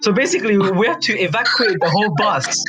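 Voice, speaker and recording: A young British man casually telling an anecdote to friends in animated slang, repeating himself and checking that they follow. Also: high-pitched, fast, over a noisy crowd. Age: young adult. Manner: animated slangy storytelling, repeats himself, seeks agreement with tags. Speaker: youth advisory board member on a podcast.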